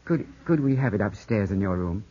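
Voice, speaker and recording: An actor playing an earnest, anxious young English gentleman weakened by illness, in formal 1950s radio drama style on an old broadcast. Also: lowish voice, animated, brisk, breathy edge. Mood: weary. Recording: muffled 1950s radio broadcast, music behind.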